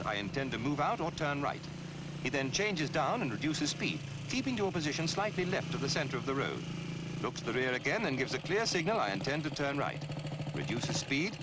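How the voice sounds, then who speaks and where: A man reading scripted public information film narration in a measured, instructive, emphatic way, on an old film soundtrack. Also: medium pitch, heavy background noise. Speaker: narrator of a road safety film.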